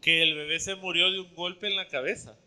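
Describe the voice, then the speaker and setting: A man interpreting a sermon phrase by phrase into Spanish, following each line closely and sometimes pausing with fillers. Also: sits high, steady tone, sharp tone, smooth.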